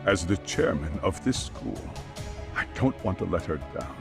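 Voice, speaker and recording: A man playing a grave, courteous middle-aged school chairman in an English video game dub, formal, earnest and deliberate. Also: low voice, sing-song, gravelly, over music, heavily acted. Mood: solemn, pleading.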